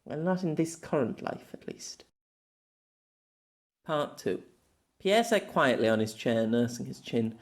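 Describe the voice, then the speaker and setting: A man reading a web novel aloud as narrator, in an expressive amateur audiobook style. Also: mid-range voice, a little slow, long pauses.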